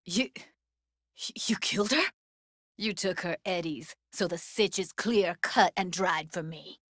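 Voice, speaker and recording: A woman's voice: lowish voice, takes it slowly, fairly bright tone, no room sound, clean studio sound. An actress voicing a tough, guarded young woman techie in a cyberpunk video game, speaking in casual, clipped slang with a wry edge. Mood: upset, tense. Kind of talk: naturalistic video game dialogue, isolated voice lines.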